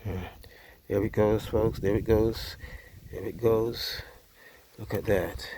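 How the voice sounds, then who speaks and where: A man's voice: on the low side, fairly even intonation, slow, gravelly, noisy background. A man with a Jamaican accent hosting a casual cooking vlog outdoors by a wood fire, chatty and easygoing, often saying "yeah, man".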